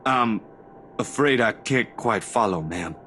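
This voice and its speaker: A man's voice: lowish voice, animated, slow pace, pushed and bright, slight background noise, full character voice. An actor in a story game playing a simple, caring man who talks in a plain, polite, reassuring way. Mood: uneasy. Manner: plain-spoken, reassuring, informal. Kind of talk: dramatic game dialogue.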